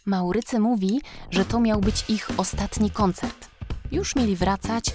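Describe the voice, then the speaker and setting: A woman narrating a scripted children's story in a measured, expressive way. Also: mid-range voice. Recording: music behind narration.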